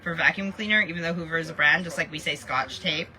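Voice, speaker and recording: A woman's voice: low voice, fast, pushed and bright, rough recording. A Canadian woman with a Canadian accent, co-hosting a podcast and chatting in a rambling way full of fillers. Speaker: podcast co-host. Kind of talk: casual chat.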